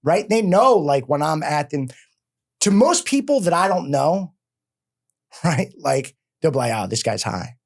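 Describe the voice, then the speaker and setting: Philly guy in his 40s on a podcast, high energy, rambles, lots of "like" and "right?". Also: unhurried. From Philadelphia. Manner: energetic, rambling, filler-heavy. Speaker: guest. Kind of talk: casual interview talk. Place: podcast studio.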